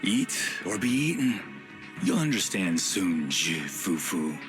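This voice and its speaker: A man's voice: low voice, sing-song, takes it slowly, heavily acted. An actor playing a smug, cruel tiger villain in a video game, grandiose and playful, savouring his words. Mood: bitter, menacing. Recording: loud music behind voice.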